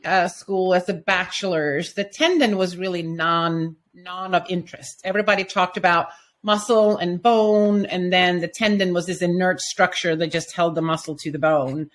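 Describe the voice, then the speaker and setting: A middle-aged woman from Sweden, a physical therapy professor, answering interview questions in a measured, explanatory way. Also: lowish voice, fairly even intonation, fairly bright tone.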